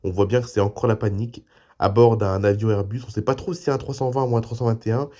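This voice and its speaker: A man with a cold, speaking softly as the host of an online aviation news show, reading articles and adding casual asides. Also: fairly low pitch, fairly even intonation, fast talker. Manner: reads articles, then adds loose spoken asides.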